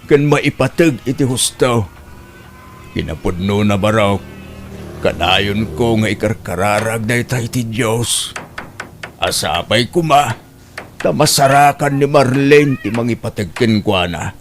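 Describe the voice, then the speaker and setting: A man's voice: lowish voice, lively intonation, unhurried, a little hiss or hum. A man delivering a scripted, dramatic introduction for an audio drama.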